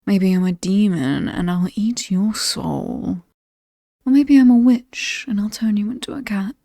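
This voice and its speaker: Woman as mysterious British demon hostess for horror ASMR: mellow, very close and dry, breathy whispers, playful menace. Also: mid-range voice, no echo. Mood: teasing threat. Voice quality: breathy, often dropping to a whisper. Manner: slow, teasing, coolly amused and aristocratic. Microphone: close, dry microphone.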